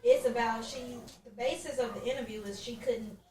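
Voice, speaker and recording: A woman gives her opinions in casual podcast chat. Her voice is a bit high, and it sounds echoey and somewhat far from the microphone. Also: steady tone, normal speed, mellow. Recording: echoey, distant from the mic.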